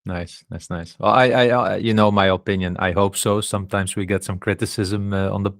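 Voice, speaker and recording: A man's voice: lowish voice, steady tone, a little fast, fairly bright tone, dry recording, clean studio sound. A man hosting a podcast in a casual way, often restarting words and stumbling. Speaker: podcast host. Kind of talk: casual chat.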